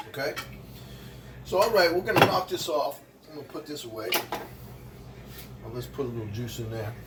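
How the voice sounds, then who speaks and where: A man's voice: a little high, a little hiss or hum. Older man, sixties, rambling kitchen vlog chat, slightly distant mic, faint clinking dishes behind. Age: 60s. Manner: rambling, chatty, animated asides to the viewer. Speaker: vlogger and motivational speaker. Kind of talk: casual chat while cooking in a kitchen. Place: home kitchen.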